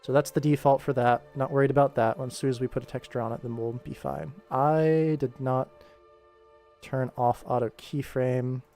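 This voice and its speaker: A man's voice: mid-range voice, fairly even intonation, moderate pace, warm tone, soft background music. A young man rambling casually as he hosts a live work stream and talks through his animation work. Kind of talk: casual rambling livestream commentary while working.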